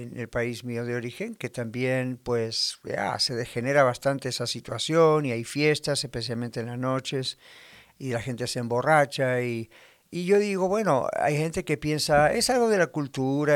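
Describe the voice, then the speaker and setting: A man's voice: mid-range voice, expressive, a little slow, clear, resonant tone, no room sound, clean studio sound. A man from Argentina preaching a sermon in a conversational way, full of rhetorical questions and imagined dialogue. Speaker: pastor.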